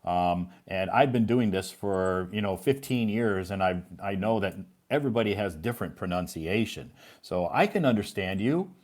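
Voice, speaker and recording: A man's voice: fairly low pitch, expressive, normal speed, hardly any pauses, darkish tone. A man hosting a live English chat as a teacher, reassuring and encouraging. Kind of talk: casual chat.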